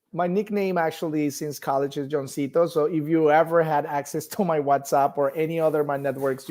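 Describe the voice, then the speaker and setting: A man's voice: on the high side, a little mellow. A middle-aged man with a Colombian accent, a native Spanish speaker, telling his own story informally as an interview guest. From Bogota, Colombia. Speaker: guest, nonprofit executive.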